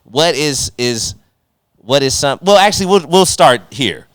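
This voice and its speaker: A man's voice: a little high, lively intonation, a little slow, a bit bright, no echo. A man hosting a podcast, chatting casually in slangy, conversational language and working his way toward each question. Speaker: podcast host. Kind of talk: casual interview with joking asides.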